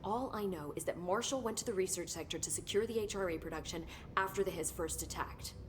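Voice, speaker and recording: An actress playing a curious, analytical government researcher in a video game, inquisitive and articulate. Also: female voice, on the low side, a little fast, noisy background, natural voice. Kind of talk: video game cutscene acting.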